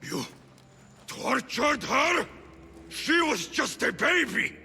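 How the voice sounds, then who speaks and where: Fierce mercenary hero, forceful and slow. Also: male voice, very high voice, sing-song, vocal fry, big-room sound, faint music behind, full character voice. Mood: outraged. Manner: intense, accusing, deliberate. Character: fierce, vengeful mercenary hero seeking his lost family. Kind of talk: dramatic game cutscene acting.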